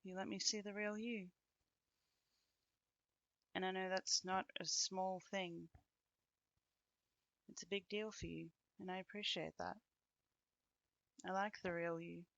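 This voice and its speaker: Casting: actress playing a fond, teasing woman companion for intimate ASMR roleplay; bright tone, slow conversational delivery with pauses. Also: mid-range voice, little pitch movement, gravelly, dry recording, highs cut off. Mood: tender, reflective. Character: affectionate, teasing woman, close companion of the listener.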